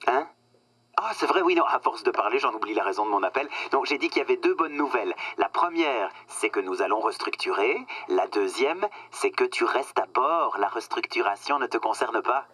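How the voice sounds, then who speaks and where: An actor playing a smug, condescending corporate boss on the phone in a satirical radio drama. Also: male voice, medium pitch, expressive, normal speed, pushed and bright, husky. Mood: smugly cheerful. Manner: patronizing, self-satisfied, hesitating with filler words.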